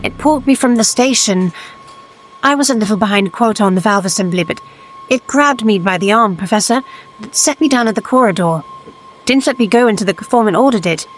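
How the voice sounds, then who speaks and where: A woman playing a wary, earnest Victorian factory hand in a gothic audio drama, speaking in a halting, urgent way. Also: medium pitch, lively intonation, faint music behind, natural voice, rough recording. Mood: grave, accusing. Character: wary, sharp-eyed working-class female factory hand, earnest.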